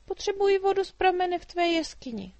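A woman voicing a small, chatty human girl who talks in eager, high-pitched chatter, in a storybook reading. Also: expressive, a little mellow, no room sound.

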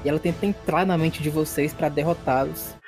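A man's voice: fairly high pitch, fairly even intonation, fairly quick, over loud music. A man narrating a horror tabletop game dramatically as game master.

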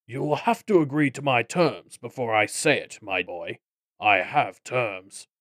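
A man voicing a pompous, menacing goblin boss in an audiobook, with wordy, deliberate speech. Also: mid-range voice, fairly bright tone, dry recording, studio quality. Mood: pompous, controlling. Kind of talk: audiobook character acting.

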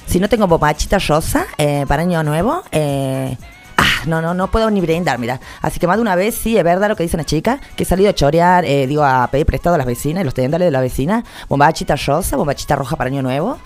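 A woman's voice: sits low, expressive, fast talker, darkish tone, voice pushed down. A woman doing a rambling, devout lady. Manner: hesitant, rambling, filler-heavy. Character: devout superstitious middle-aged woman.

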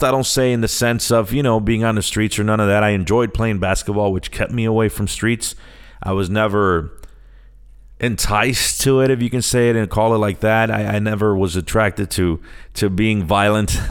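Middle-aged American male podcast host, slightly deep voice, conversational and bilingual, easygoing solo introduction. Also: clean tone. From Chicago, United States. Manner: conversational, switches between English and Spanish.

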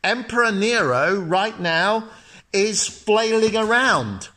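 A man's voice: high-pitched, expressive, slow, pushed and bright, voice pushed up, full character voice. Man doing a scornful young Roman friend. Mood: warning. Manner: urgent, mocking, lecturing.

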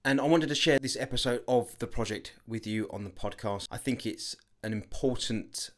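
A man hosting a podcast and introducing a guest in a measured way, with small restarts. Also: medium pitch. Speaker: podcast host. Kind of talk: scripted episode introduction.

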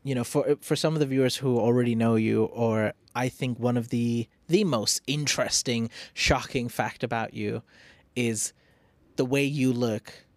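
A man's voice: mid-range voice, fairly even intonation, no echo. A man hosting a podcast, asking questions in a friendly, casual way with lots of backchannel replies. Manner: friendly, backchannels often, some halting phrasing. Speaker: podcast host. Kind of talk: podcast intro and casual interviewing.

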